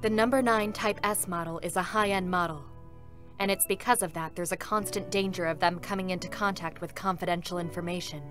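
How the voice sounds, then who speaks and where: Woman as a low-voiced, stoic female combat android. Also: steady tone, fairly quick, fairly bright tone, faint music behind, natural voice. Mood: solemn. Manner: formal, restrained, serious. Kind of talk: dramatic concert reading.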